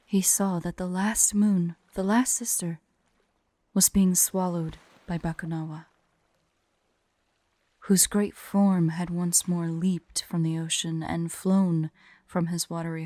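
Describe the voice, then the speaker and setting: A woman plays a proud, wistful she-dragon guarding her hoard in an ASMR roleplay. Her voice is mellow and slightly low, and she speaks very slowly in formal, measured phrases that sink into breathy hush. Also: no room sound. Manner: formal, measured storytelling with breathy hushed drops. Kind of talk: ASMR roleplay with slow myth storytelling.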